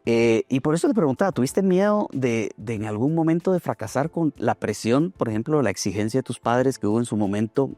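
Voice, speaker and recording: A man hosting a conversational interview, philosophizing in a halting way and repeating words as he thinks. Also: mid-range voice, expressive, dark tone, dry recording, faint music behind. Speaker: podcast host interviewing a guest.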